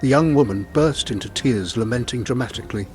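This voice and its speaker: A man's voice: mid-range voice, fairly quick. A man telling a scripted folk tale as a storyteller. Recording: music bed behind the voice. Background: music.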